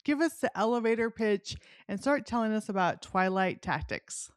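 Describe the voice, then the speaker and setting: A woman's voice: medium pitch, lively intonation, normal speed, smooth, no echo, studio quality. A middle-aged woman hosting a casual book interview, enthusiastic and chatty, often interrupting her own sentences as she rambles. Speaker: podcast host.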